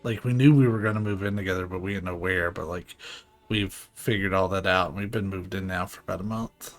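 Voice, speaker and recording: A man's voice: low voice, steady tone, brisk. A man giving casual live commentary on a game in an informal, rambling, joking way. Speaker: game streamer. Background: faint game music.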